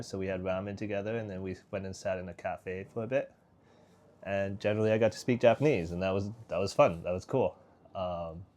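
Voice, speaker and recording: A young man vlogging in a casual, rambling way, full of fillers and restarts. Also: sits low. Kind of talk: casual vlog chat.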